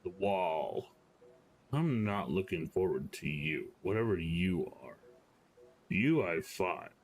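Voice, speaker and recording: Slow, gravelly-voiced man reacting casually. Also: low-pitched, animated. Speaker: game streamer reacting to story scenes.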